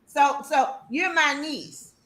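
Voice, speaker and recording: High-voiced woman in her sixties, motherly. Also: takes it slowly, a crowd in the background. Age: 60s. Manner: teasing, motherly, blunt. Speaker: guest. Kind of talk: casual live-stream chat.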